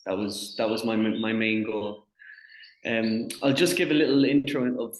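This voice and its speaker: A man's voice: lowish voice, fairly even intonation, measured pace, roomy sound, slight background noise, rough recording. A young man from Ireland, a guest in a casual interview, who talks in a chatty way and often restarts and repeats words.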